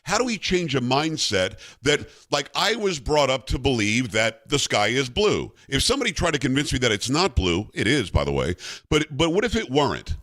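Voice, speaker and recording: A man's voice: medium pitch, very animated, normal speed, fairly bright tone. A man hosting an interview, asking questions conversationally and rephrasing as he goes. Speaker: host.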